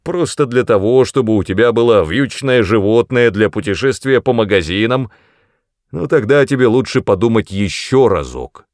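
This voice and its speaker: A man voicing a vain, explosive male demon mentor in an audiobook, with a gruff, barking, indignant manner. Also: low-pitched, very animated, moderate pace, no echo, clean studio sound. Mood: sarcastic, irritated.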